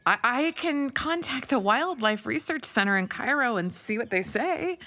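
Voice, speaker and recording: An actress playing a caring, cautious forest ranger mom, expressive and protective, in an audio adventure drama. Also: female voice, on the high side, moderate pace, background noise. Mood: relieved, amused.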